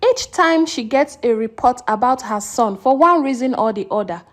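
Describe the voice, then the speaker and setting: High, animated woman storyteller. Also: normal speed. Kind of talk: scripted folktale narration.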